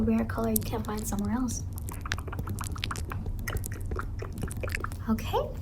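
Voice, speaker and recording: Woman as a high-voiced, creepily sweet ASMR clown. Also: background noise. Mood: cheerful, eerie. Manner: coaxing, soothing, repeats short phrases. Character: creepy, sweetly doting ice cream truck clown. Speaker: ASMR roleplay performer. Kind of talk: ASMR roleplay, soft-spoken with whispers.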